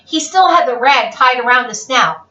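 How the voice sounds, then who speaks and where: A woman reading a children's picture book aloud in a theatrical, expressive, playful way. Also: high voice, normal speed. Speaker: read-aloud storyteller. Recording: roomy, dull lo-fi, distant mic.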